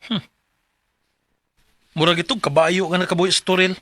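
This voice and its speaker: A man's voice: high voice, flat delivery, normal speed, a bit bright, dry recording. A man acting an exasperated, caring younger brother in a radio drama, with a Cebuano Filipino accent. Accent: Filipino, Cebuano-speaking. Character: exasperated, protective younger brother, hot-tempered but caring.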